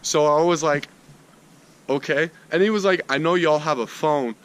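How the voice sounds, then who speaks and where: A man's voice: on the high side, normal speed, clean tone. A young American man telling a story casually and slangily to his friends on a noisy amateur video.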